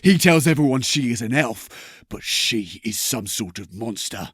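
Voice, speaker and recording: A man playing a commanding, threatening nobleman in an audiobook drama, intimidating and deliberate, with a husky voice. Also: mid-range voice, animated, a bit bright, dry recording, studio quality. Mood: demanding, suspicious. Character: menacing nobleman, commanding, threatening, quick to frustration.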